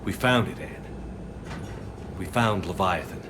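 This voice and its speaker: An actor playing a steady, resolute male commander with a low, understated delivery. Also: unhurried. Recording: game audio with clear background noise.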